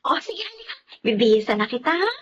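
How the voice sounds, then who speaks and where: A sulky young girlfriend in radio melodrama. Her voice is high and swings widely as she pouts, whines and gets in cutting remarks. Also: very animated, fast, a bit bright, husky, dull audio, full character voice. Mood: sugary, cutting. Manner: whiny, pouting, cutting. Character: sulky, possessive, sharp-tongued young girlfriend.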